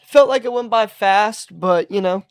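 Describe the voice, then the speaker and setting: Young male streamer, easygoing chat, smooth clear voice a little high, dry close sound. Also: a little slow, no room sound. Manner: relaxed, easygoing, trails off mid-sentence. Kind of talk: casual chat.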